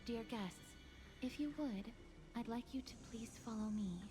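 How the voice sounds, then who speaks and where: A woman voicing a solemn young mortician, a shadow of death, in a video game with polite, measured formality. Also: a little high, close to an everyday voice. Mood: polite, reserved. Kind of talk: dramatic video game voice acting. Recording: loud music underneath. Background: game music.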